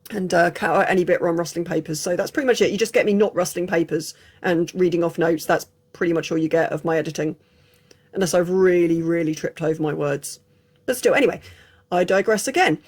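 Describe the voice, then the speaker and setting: An English woman chatting casually through an unscripted film review, frank and prone to digressions. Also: on the low side. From England. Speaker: film reviewer, channel host.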